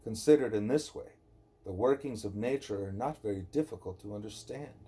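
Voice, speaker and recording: A man reading a scripted spiritual dialogue aloud as an audiobook narrator, deliberate and emphatic on rhetorical questions. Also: lowish voice, mellow, slightly rough. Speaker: audiobook reader.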